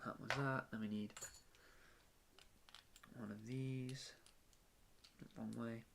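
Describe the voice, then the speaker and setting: A man's voice: mid-range voice, fairly even intonation, takes it slowly, vocal fry, very noisy. A young man chatting casually on a live stream from his bedroom while he builds, rambling with lots of fillers and restarts.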